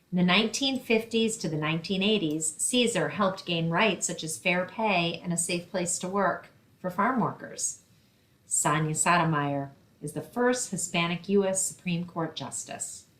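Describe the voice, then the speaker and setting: A woman's voice: lowish voice, a bit bright, rough recording. A woman reading a picture book aloud and explaining things simply for children, as a librarian hosting story time.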